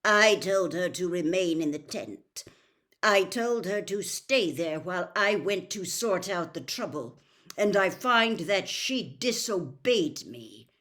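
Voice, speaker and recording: A pitiless master from a storybook, voiced by a woman. He speaks slowly and coldly, clipping his words with stern disdain, in a voice that swings in pitch. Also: lively intonation, a bit bright. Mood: cold anger. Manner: slow, clipped, cold. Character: stern, cold, pitiless male master and official.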